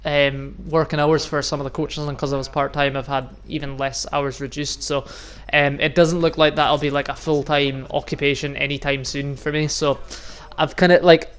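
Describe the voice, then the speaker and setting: A young Scottish man from the Highlands in his twenties, talking casually to his viewers. He rambles, swears freely and laughs often. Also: flat delivery, normal speed, few pauses, a bit bright, clean tone. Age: 20s. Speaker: vlogger and youth football coach. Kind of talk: casual monologue to camera.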